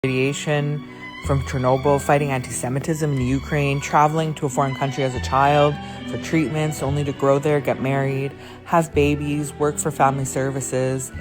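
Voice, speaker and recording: Trailer voice, flat steady read, mid pitch, music bed underneath. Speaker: podcast trailer narrator. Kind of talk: scripted trailer narration. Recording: narration over music.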